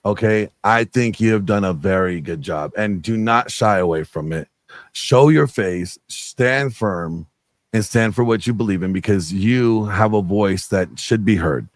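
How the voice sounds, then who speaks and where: A man's voice: fairly low pitch, a little slow, no room sound, clean studio sound. A middle-aged man speaking earnestly as a guest on a video call interview, rambling with many fillers. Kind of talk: casual interview chat.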